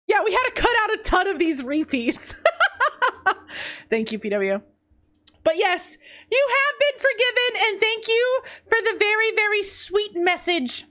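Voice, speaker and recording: High-pitched woman streamer, voice bounces all over, kinda stuffy nose, teasing and laughy. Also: big pitch swings, a little slow, pushed and bright. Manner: playful, teasing, laughs often, swings between high squeals and low mutters. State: congested, runny nose. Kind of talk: casual live-stream chat reading confessions.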